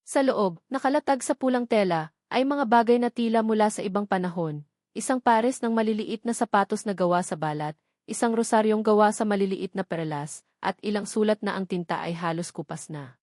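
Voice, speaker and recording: Woman narrator, measured and dramatic, mid-range pitch, dry close-mic sound. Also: a little mellow, no room sound.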